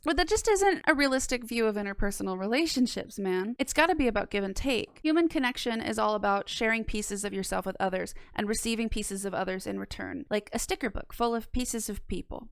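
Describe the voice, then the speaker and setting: Young female video essayist, smooth with noticeable vocal fry, measured analysis and dry casual asides. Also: mid-range voice, no room sound. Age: young adult. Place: small home studio room. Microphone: close microphone on boom arm.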